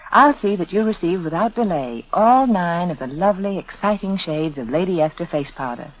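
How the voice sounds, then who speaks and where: A woman's voice: on the low side, expressive, moderate pace, poor audio. A woman delivering a scripted 1940s radio beauty advertisement in a confiding, persuasive manner. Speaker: sponsor spokeswoman, beauty adviser. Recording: vintage 1940s radio broadcast recording.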